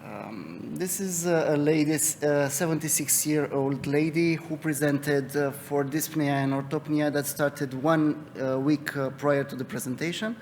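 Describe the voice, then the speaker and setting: Young Romanian-accented man, creaky voice in a hall. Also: a little high, a little slow, hardly any pauses, darkish tone. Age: young adult. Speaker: interventional cardiologist presenting a case. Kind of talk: medical conference case presentation. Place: conference hall. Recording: reverberant hall, noticeable background noise.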